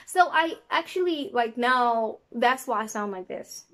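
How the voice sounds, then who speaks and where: A woman's voice: fairly high pitch, unhurried. A young woman from South Africa with a South African accent and an American twang, telling a story casually to camera in a small room. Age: young adult. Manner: animated, gestures a lot, slips into quoted voices. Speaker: vlogger. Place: small indoor room against a plain wall.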